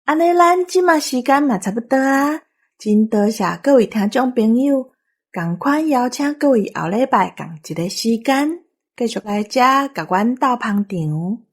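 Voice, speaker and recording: A woman host with a Taiwanese accent leads a discussion. Her voice is fairly high and a little husky. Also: lively intonation, unhurried.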